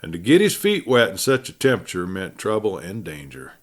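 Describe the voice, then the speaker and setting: A man narrating a classic adventure story in a measured storytelling way, with pauses and phrases that fall away at the end. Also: sits low, huge pitch swings. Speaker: audiobook narrator and host.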